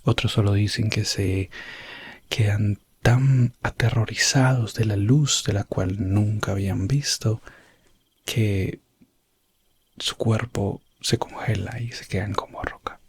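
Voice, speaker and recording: Slow, breathy man whispering a fantasy tale. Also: fairly low pitch, steady tone. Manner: hushed, drifting between soft voice and whisper. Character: elf prince explorer recounting a medieval adventure. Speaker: ASMR storyteller. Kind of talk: whispered ASMR fantasy roleplay narration.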